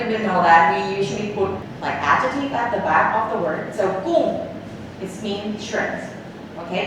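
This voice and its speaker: Thai lady cooking teacher, keeps saying okay?, far off camera mic, lots of room noise. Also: mid-range voice. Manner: instructive, checks in with "okay?". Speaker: cooking class instructor. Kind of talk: explaining to a class. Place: cooking school and market, indoors and out. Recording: echoey, distant, with background noise.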